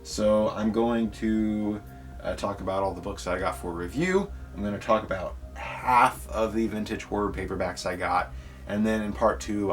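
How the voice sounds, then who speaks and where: A young man with a congested, slightly nasal voice, chatting enthusiastically in a casual book vlog. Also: deep voice. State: congested, stuffy nose. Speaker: book vlogger.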